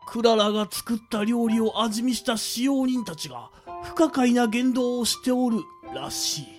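An actor takes on a kindly old man from a radio drama. The delivery is deliberate and explanatory, full of old-timer phrasing. The pitch sits in the middle, with music clearly playing behind. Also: darkish tone, close to an everyday voice. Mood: grave, explanatory. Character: kindly old man speaking archaic elderly Japanese. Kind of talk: amateur radio drama acting.

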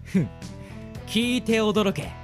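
A woman's voice: mid-range voice, slow, soft background music, close to an everyday voice. A woman voicing an eager, naive boy adventurer who stammers when flustered, in a radio drama. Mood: boastful.

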